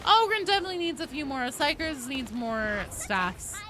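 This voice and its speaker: A woman's voice: high-pitched, sing-song, moderate pace, background noise. A woman game streamer chatting casually and informally while she plays, with slangy talk and plenty of swearing. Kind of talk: casual live stream chat with gameplay commentary.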